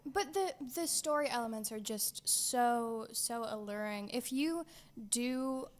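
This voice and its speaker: A woman's voice: on the high side, expressive, takes it slowly. A woman co-hosting a gaming show, chatting casually and playfully with wry little asides.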